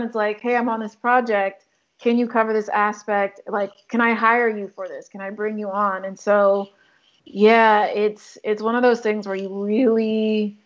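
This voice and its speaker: A woman's voice: medium pitch, dull audio. A woman from Congo, a photographer talking casually in an interview, with frequent likes and ums. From Democratic Republic of Congo. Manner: chatty, lots of likes and ums. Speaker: photographer and filmmaker guest.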